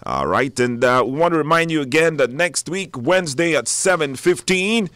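A man's voice: fairly high pitch, expressive, normal speed, a bit bright, no echo. A man hosting a live radio show, announcing informally with hesitations and repetition. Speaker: radio talk show host. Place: dry radio studio.

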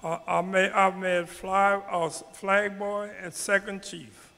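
A man in his nineties with a high, bright voice answers questions haltingly, often repeating his first words. He speaks into a handheld mic in a community hall, and his voice rings out with a long echo. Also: flat delivery. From New Orleans, Louisiana. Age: 90s. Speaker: panel guest, Mardi Gras Indian elder.

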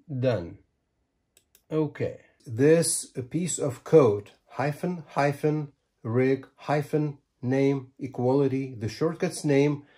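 Male tutorial presenter, sixties. Slow, deliberate delivery with emphatic phrasing and a relaxed, mellow timbre. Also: medium pitch, slightly rough. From Lithuania. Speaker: ham radio tutorial presenter. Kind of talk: step-by-step tutorial explanation. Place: small home radio room, indoors.

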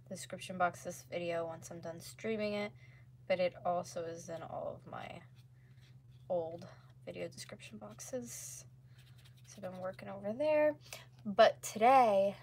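A woman in her twenties with a slightly hoarse voice, chatting casually as she livestreams crafts from home. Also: lowish voice. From North America. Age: 20s. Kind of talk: casual chat while painting. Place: small room at home, indoors. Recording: clearly noisy home livestream recording.

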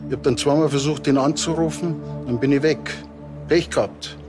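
A man's voice: fairly high pitch, fairly even intonation, moderate pace, over music, lightly acted. An actor playing a blunt, insistent male taxi driver in a TV drama. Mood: matter-of-fact.

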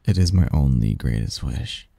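A man's voice: very deep voice, sing-song, moderate pace, gravelly, warm tone, no echo, voice pushed down, exaggerated voice. A man voicing a doting, possessive sleep demon lover in an intimate romantic ASMR roleplay, teasing and tender in courtly phrasing. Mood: adoring, longing.

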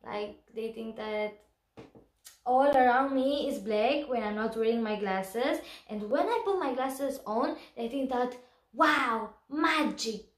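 A young woman from Romania with a Romanian accent, chatting to camera in a giggly, animated vlog. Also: a little high, takes it slowly, reverberant. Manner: bubbly, giggly, gestures a lot. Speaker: vlogger. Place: small room indoors.

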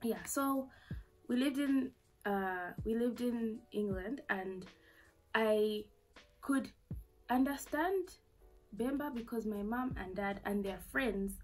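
A woman's voice: on the high side, takes it slowly, soft background music, rough recording. A young woman with a Zambian accent, telling a personal story to camera in a casual, candid way, full of fillers and asides, in a small bedroom. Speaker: vlogger. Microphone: camera in front at desk distance.

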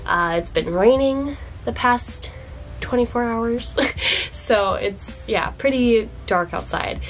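A woman's voice: fairly high pitch, slow, faint music behind, rough recording. A young woman book vlogger chatting to camera in a dim indoor room, congested from a cold, gushing and chatty with fillers. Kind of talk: casual chat to camera.

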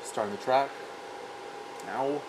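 A man's voice: mid-range voice, slow, background noise. A man giving terse, matter-of-fact commentary as a product tester, calling out readings. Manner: terse, factual.

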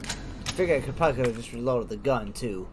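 A man's voice: mid-range voice, lively intonation, fast. A man giving casual let's play commentary as a gaming host, informally thinking aloud. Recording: clearly noisy, game ambience behind.

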